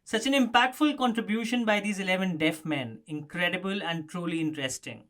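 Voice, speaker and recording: A man's voice: high voice, fairly quick, fairly bright tone. A man presenting a scripted explanatory news story as a narrator.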